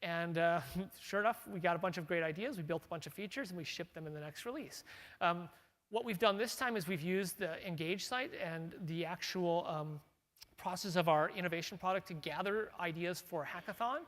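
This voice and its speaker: A man's voice: sits high, fairly quick, a bit bright, big-room sound. A middle-aged man giving a casual conference keynote as a company tech chief, talking in a loose way with fillers and restarts. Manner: conversational, with frequent fillers and restarts. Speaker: company CTO presenting product strategy. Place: large conference hall.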